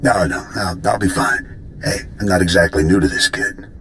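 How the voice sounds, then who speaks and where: A man playing a friendly, folksy veteran soldier mechanic in a video game, with a gruff, casual way of talking. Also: deep voice, moderate pace, a bit bright, a little hiss or hum. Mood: easygoing, rueful.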